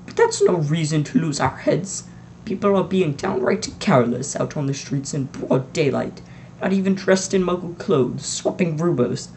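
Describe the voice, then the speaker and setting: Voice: medium pitch, lively intonation, moderate pace, a little mellow, slight background noise, lightly acted. A reader voicing a strict older woman professor who speaks in a clipped, impatient way. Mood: irritable. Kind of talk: audiobook reading.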